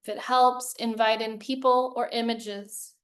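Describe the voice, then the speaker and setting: A woman leading a guided meditation as a yoga teacher, speaking in calm, measured, soothing phrases with long pauses. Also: on the high side.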